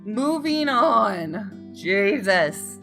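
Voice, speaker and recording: A woman's voice: medium pitch, big pitch swings, drawn-out words, fairly bright tone. A woman game streamer reading visual novel text aloud, dramatically and with playful outbursts. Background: game music with guitar.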